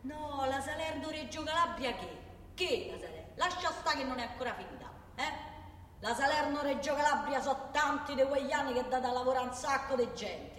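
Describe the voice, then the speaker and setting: A woman's voice: high-pitched, animated, fairly quick, a bit bright, recorded from a distance, a little hiss or hum. An actress playing an exasperated, chatty working-class woman with a Roman accent, rambling indignantly and colloquially in a comic radio-theatre monologue. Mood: exasperated, dismissive. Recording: echoey hall from afar, music behind.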